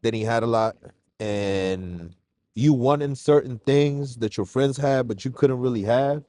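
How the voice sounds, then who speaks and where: A man from Mexico, a guest on a casual podcast interview, telling his story in a relaxed, slangy way. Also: mid-range voice, measured pace, no echo. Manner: relaxed, slangy, calls host "bro".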